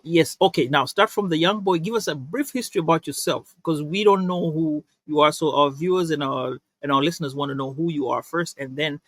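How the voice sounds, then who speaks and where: A man's voice: a little high, fairly quick, few pauses, dry recording. A man hosting a podcast and asking casual interview questions in a friendly, chatty way. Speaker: podcast host.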